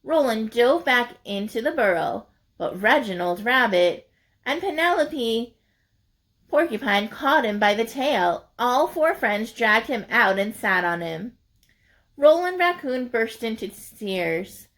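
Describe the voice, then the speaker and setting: Female children's storyteller, fairly high voice, very unhurried, expressive and emphatic read-aloud delivery. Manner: deliberate, emphatic, addressing young listeners. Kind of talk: expressive picture-book narration.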